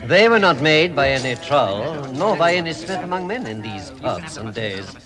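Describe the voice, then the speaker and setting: An actor playing a wise, kindly, commanding old wizard, theatrical and avuncular, in a vintage radio drama. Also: male voice, on the high side, sing-song, moderate pace, a crowd in the background. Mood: assured, knowing. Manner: theatrical, avuncular, self-assured.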